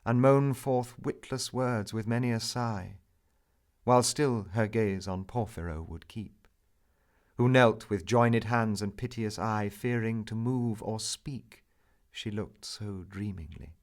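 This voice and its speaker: A man reciting a romantic narrative poem as its narrator, in a solemn, theatrical, measured manner. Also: lowish voice.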